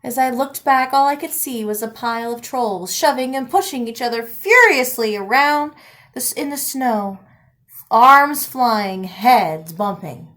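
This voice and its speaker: A woman reading a picture book aloud expressively, with deliberate dramatic emphasis and pauses. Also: fairly high pitch, lively intonation, a bit bright.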